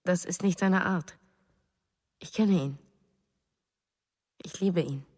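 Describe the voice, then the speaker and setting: A grieving young mother in a radio play. Her voice is low and restrained, pensive in short phrases, with a long reverb around it. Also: takes it slowly, a little mellow, slightly rough. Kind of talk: German radio drama acting.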